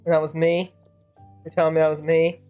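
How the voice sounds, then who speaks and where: A woman's voice: low voice, little pitch movement, a little fast, dark tone, faint music behind. A woman streaming a game, giving casual, wry and sardonic live commentary.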